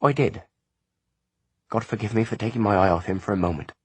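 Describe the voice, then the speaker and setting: A man's voice: lowish voice, brisk, a little mellow, slightly rough, voice pushed up. A man voicing a weary, grieving peasant mother, sorrowful and pleading, in an audiobook.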